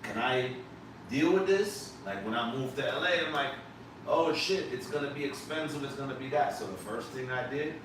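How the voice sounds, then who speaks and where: A man's voice: mid-range voice, normal speed. A man hosting a live podcast, talking emphatically and animatedly to his guest and casually dropping swear words. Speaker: podcast host. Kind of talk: casual interview chat. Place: live podcast set on a couch. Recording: echoey room, distant mic, background noise.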